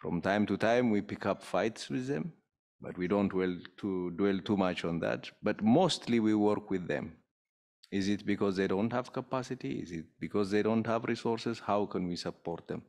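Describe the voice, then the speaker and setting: A man with a deep, low-set voice addresses a formal panel as an official. He explains in measured, deliberate phrases and frames his points with rhetorical questions. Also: a little mellow.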